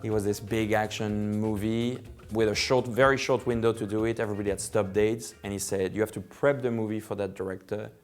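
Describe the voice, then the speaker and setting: A middle-aged man with a French accent talks casually from his living room, circling back and restarting his sentences. His voice sits a little low, and soft music plays faintly underneath him. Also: little pitch movement, brisk. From France. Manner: rambling, many fillers and restarts. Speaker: film director. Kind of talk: casual personal storytelling.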